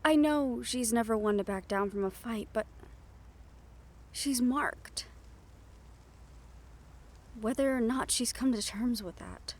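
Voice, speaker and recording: Woman acting a young siren, higher-pitched voice, soft, intimate delivery, gentle, teasing, quietly worried, audio roleplay. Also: slow, long pauses. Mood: anxious, troubled.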